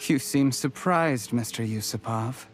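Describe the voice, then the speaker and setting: A man's voice: mid-range voice, unhurried, music in the background, lightly acted. A man playing a cold, ambitious schemer and poisoner in a video game, speaking in composed, smug monologue. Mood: bitter, triumphant.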